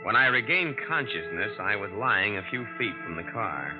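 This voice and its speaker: A man's voice: on the low side, animated, moderate pace, lightly acted. An actor playing a tough, streetwise young man who narrates his own scheme in a 1940s radio drama. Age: young adult. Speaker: drifter and narrator. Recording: muffled vintage radio recording with music.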